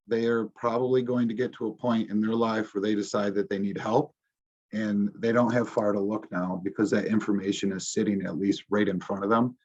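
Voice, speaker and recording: A man's voice: medium pitch, a little mellow. A man, a police officer presenting slides to a community audience, speaking informally with many ums and restarts. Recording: dull, muffled recording.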